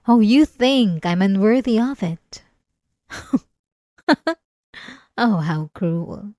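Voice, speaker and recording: A woman's voice: medium pitch, a little mellow, no echo, studio quality. A woman playing an obsessive, possessive centuries-old vampire in an ASMR roleplay, speaking in a theatrical, silky, menacingly tender way. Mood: wounded, indignant.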